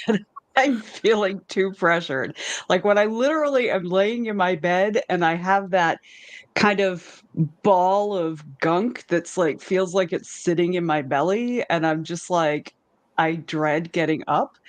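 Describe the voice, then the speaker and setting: A woman's voice: on the low side, dry recording. A woman, an author and editor, talking frankly and candidly in casual panel chat, swearing now and then.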